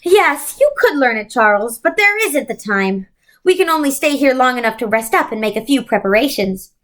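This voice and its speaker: A woman voicing a majestic winged creature from a storybook, kindly, grand and gently encouraging. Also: on the high side, expressive, normal speed. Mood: gently urging.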